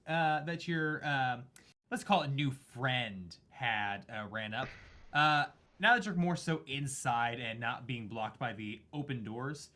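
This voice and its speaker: A man with a bright, forceful voice narrates a tabletop game as its game master. He is casual and halting, improvising descriptions and swearing freely. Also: medium pitch.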